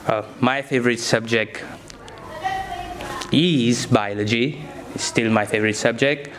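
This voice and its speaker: A man's voice: medium pitch, unhurried, background noise. A young man, answering interview questions as a science teacher in simple, enthusiastic phrases.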